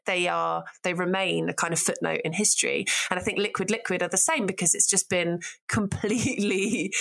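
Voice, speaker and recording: A woman with a lowish voice talks through music history in a relaxed podcast chat. She is thoughtful, fills her pauses with ums and laughs easily. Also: vocal fry. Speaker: author and guest.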